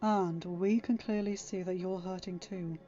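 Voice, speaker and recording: A woman voicing a loyal, caring teenage girl co-captain who talks softly but insistently in a story. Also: fairly low pitch, steady tone, measured pace, lo-fi sound, faint music behind, lightly acted. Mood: gently insistent. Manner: soft, gently insistent.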